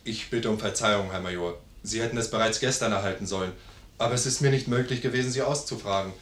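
An actor playing a correct, courteous military courier in a 1950s German radio play, formal and dutiful. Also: male voice, fairly low pitch, fast talker, a bit bright, natural voice. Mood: formal, polite. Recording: roomy 1950s radio studio recording.

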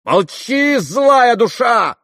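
A man reading a story as a passionate, wounded and spiteful young woman given to shrill, impulsive outbursts. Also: animated, a little slow, no room sound, studio quality, lightly acted. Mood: furious. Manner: shrill exclamations, impulsive swings between rage and anguish.

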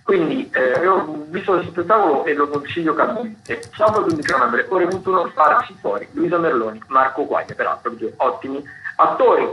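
A man hosting a radio show, presenting theatre listings off the cuff from notes in a halting way, often correcting himself. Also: fairly high pitch, big pitch swings. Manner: hesitant, halting, self-correcting delivery. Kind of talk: unscripted radio commentary reading from notes. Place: radio studio. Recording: dull lo-fi radio sound, faint background noise.

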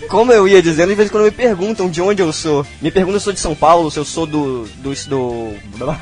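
A man's voice: on the high side, lively intonation, a little fast, darkish tone, faint music behind. A man with a capixaba accent from Espírito Santo, co-hosting a podcast with a playful, self-mocking manner. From Brazil. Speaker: podcast co-host. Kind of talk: casual podcast banter.

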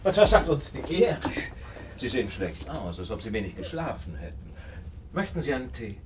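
A male actor plays a cool, commanding detective in a radio drama. His mid-pitched voice is extremely animated, full of polite irony and feigned innocence. There is clear noise on the recording. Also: moderate pace, close to an everyday voice. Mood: suave, casual. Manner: suave, ironic, feigning innocence. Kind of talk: radio play acting.

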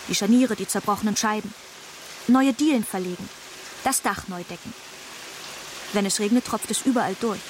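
A woman's voice: medium pitch, lightly acted. A woman playing a wounded, determined eleven-year-old daughter mourning her mother, in plain, childlike radio drama monologue. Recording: clearly noisy rain-like background.

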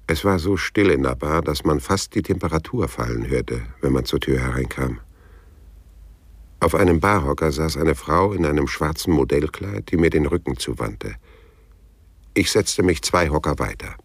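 An actor playing a terse, sardonic, loyal private detective in a German radio crime drama, with a dry, laconic delivery. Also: male voice, very deep voice, expressive, normal speed, slightly rough, full character voice. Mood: wry, melancholy. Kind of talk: German radio crime drama, dialogue and first-person narration.

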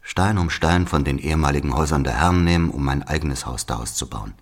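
An actor playing an embittered older Algerian man recounting his murdered brother in a deliberate radio drama monologue. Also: a bass voice. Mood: brooding.

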